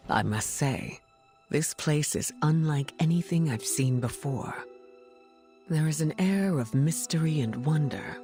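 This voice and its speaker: A woman playing a regal, gracious mutant heroine in a video game, speaking formally and with measured poise. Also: rumbling low, darkish tone, heavily acted. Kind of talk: video game cutscene acting.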